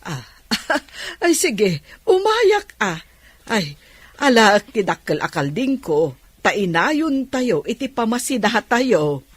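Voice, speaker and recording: A woman's voice: fairly high pitch, sing-song, slow pace, husky, no room sound, exaggerated voice. A woman playing an emotive, grateful older auntie in an Ilocano radio drama, with an Ilocano accent. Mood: delighted.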